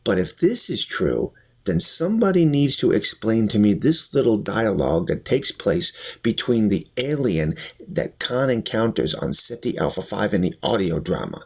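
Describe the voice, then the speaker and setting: A man giving opinion commentary to viewers, emphatic and full of rhetorical questions, with a husky voice. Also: fairly low pitch, big pitch swings, normal speed. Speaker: video commentator and sci-fi fan reviewer.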